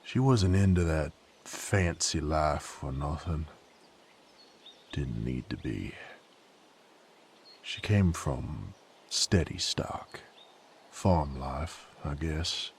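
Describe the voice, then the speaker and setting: A male actor playing a brooding, rough knight with a violent past in an audio roleplay, speaking wearily in folksy, rough diction. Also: lively intonation, extremely slow, long pauses, slight background noise, exaggerated voice. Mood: wistful, subdued. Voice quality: very low, gravelly. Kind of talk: dramatic character monologue, audio roleplay.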